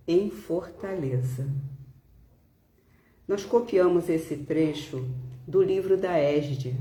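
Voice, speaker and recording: A woman with a Brazilian Portuguese accent giving a devotional talk and reading aloud, in deliberate phrases with pauses. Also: sits low, warm tone, reverberant. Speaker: religious speaker leading a spiritist devotional talk.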